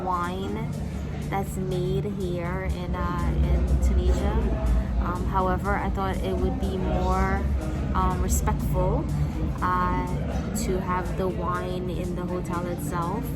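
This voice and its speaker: A woman chatting casually through a travel vlog, rambling with frequent fillers. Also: lowish voice. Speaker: travel vlogger.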